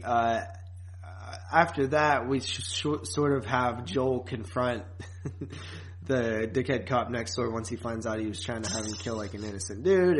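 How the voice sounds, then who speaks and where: A man's voice: mid-range voice, a little hiss or hum. A man talks as a podcast co-host in an unscripted, conversational style. He is blunt and loose with swearing, and he tends to ramble as he works through his points. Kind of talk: casual podcast chat recapping and reviewing.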